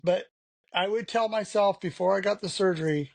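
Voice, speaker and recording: A man's voice: high voice, normal speed, vocal fry, no room sound. A man talking frankly as an interview guest, rambling with lots of "you know" fillers. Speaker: podcast guest. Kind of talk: candid conversational interview.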